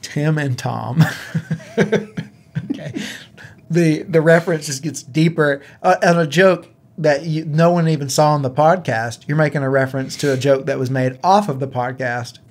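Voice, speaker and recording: Higher-voiced man chatting about games. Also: fairly even intonation, normal speed. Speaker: podcast co-host. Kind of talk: casual gaming podcast chat.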